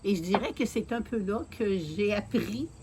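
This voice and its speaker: Québécoise lady in her 60s, calm earnest interview talk, lots of little pauses, faint bugs outside. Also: medium pitch, a little hiss or hum. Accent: Quebec French. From Quebec City, Canada. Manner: measured and earnest, with many filler pauses. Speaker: mayoral candidate being interviewed. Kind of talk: casual interview, telling her life story.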